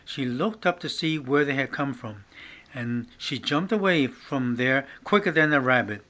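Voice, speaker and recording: A man's voice: medium pitch, expressive, unhurried, sharp tone, lo-fi sound. An older man reading a children's novel aloud, stumbling on some words and restarting them. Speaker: grandfather reading along.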